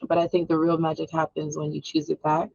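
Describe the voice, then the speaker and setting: A woman's voice: deep voice, completely flat delivery, quick pace, creaky, a little mellow. A young woman filmmaker giving reflective interview answers, loose and full of fillers, in a muffled recording. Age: young adult. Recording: dull, muffled audio.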